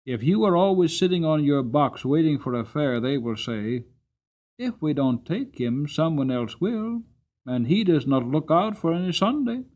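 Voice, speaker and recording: A man's voice: on the high side, animated, normal speed, darkish tone, dull audio, close to an everyday voice. A man voicing an upright, kindly cab driver in a dramatic storybook reading, with a firm, emphatic, moralizing manner. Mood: earnest, insistent.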